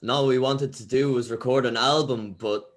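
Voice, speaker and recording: A man's voice: mid-range voice, fairly even intonation, normal speed, close to an everyday voice. A man reading the part of a wry, shaken young band member confessing to camera in a horror comedy table read. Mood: weary, shaken.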